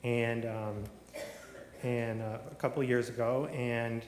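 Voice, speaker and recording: A man's voice: medium pitch, little pitch movement, slow, darkish tone, slight background noise. A man telling his personal story at a service, rambling with many ums and restarts and slipping in wry jokes. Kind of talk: informal testimony at a service. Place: large synagogue sanctuary. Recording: echoey hall with long reverb.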